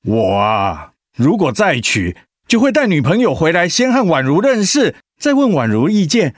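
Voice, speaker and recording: An actor playing a patient, devoted husband in a radio drama, with a Taiwanese accent and a sighing, placating, hesitant manner. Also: male voice, on the high side, huge pitch swings, moderate pace, no room sound, studio quality. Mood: matter-of-fact.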